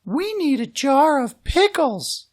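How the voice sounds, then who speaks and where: A man voicing a calm, matter-of-fact mother in a children's storybook. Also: very high-pitched, takes it slowly, voice pushed up, exaggerated voice. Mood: light, unworried. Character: Harry's mother, a calm, unbelieving woman.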